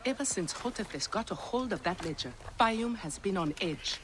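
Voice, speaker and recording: A woman playing a composed, defiant mother and official in a video game, measured and reassuring. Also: medium pitch, lively intonation, background noise, natural voice. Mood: worried. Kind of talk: dramatic video game acting. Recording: music under the voice.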